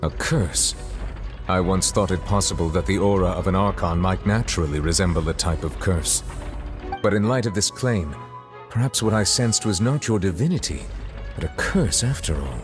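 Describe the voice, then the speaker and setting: An actor playing a grave, composed chief judge in an anime game, speaking in a solemn, measured, formal way. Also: male voice, low voice, over music, close to an everyday voice.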